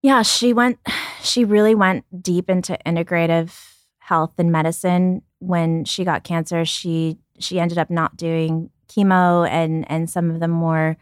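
A woman in her thirties speaks candidly as a podcast guest, with a somewhat low voice. She thinks aloud, restarts her sentences and slips in light laughs. The sound is dry and close. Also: steady tone, unhurried, clean tone, dry recording. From born in Ukraine, raised in Brooklyn, New York. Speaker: guest, company founder.

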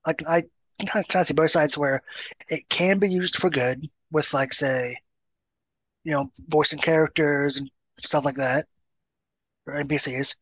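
A man's voice: a little high, fairly even intonation, measured pace, pauses often, fairly bright tone, dry recording, rough recording. Male panelist, dry close sound, hesitant and casual. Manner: tentative, searching for words. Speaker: roundtable panelist. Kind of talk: casual podcast discussion.

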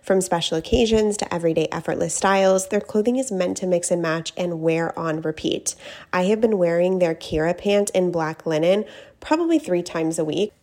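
A woman's voice: on the low side, moderate pace, darkish tone, dry recording. A woman co-hosting a podcast, reading a sponsor ad in a chatty, enthusiastic, personal way. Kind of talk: chatty scripted ad read.

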